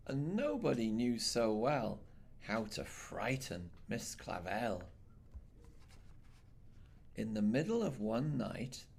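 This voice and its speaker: Slow, husky man reading a bedtime story. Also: on the low side. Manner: slow, measured, dramatic phrasing. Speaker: storyteller reading a children's picture book. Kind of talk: expressive bedtime read-aloud narration.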